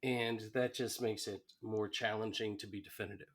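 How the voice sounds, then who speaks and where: A man's voice: on the low side, steady tone, moderate pace. A man co-hosting a podcast, chatting casually and adding his opinions. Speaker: podcast co-host. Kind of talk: casual chat.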